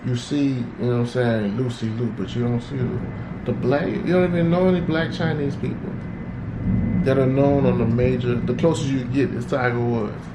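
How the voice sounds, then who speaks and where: A man's voice: mid-range voice, fairly even intonation, moderate pace. A man in a casual podcast chat, talking in a laid-back way and often saying "you know what I'm saying". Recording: echoey room with loud background noise.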